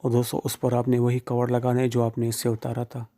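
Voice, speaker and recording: Flat, monotone man giving instructions. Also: medium pitch, brisk. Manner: plain, informal, addresses viewers directly. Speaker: phone repair tutorial presenter. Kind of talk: instructional step-by-step how-to narration.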